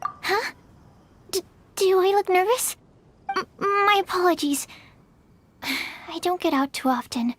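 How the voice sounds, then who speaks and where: A woman voicing a shy, bookish young alchemist's assistant in a video game, hesitant, earnest and quick to apologise. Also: very high-pitched, fairly bright tone, exaggerated voice. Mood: flustered.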